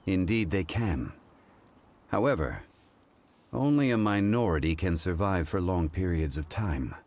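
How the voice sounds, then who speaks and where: An actor playing a calm, formal man with an ancient, scholarly air who speaks in a measured, unhurried way. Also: low-pitched.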